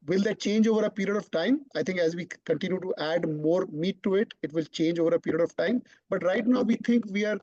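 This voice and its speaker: Gravelly, higher-pitched man on a call. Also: darkish tone, no echo. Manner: repeats words while thinking, confident explaining. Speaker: startup CEO presenting. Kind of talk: online business Q&A answer. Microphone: video call. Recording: dull lo-fi online call audio.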